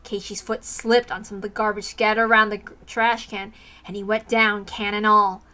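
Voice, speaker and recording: Bright-voiced woman reading a story aloud. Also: mid-range voice, little pitch movement, moderate pace. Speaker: story reader. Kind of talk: scripted narration, amateur read-aloud.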